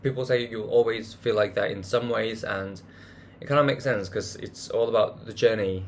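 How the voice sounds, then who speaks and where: A man's voice: lowish voice, fairly even intonation, normal speed, a little hiss or hum. A man chatting casually through a monthly recap vlog, hunting for words with many fillers and restarts. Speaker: vlogger.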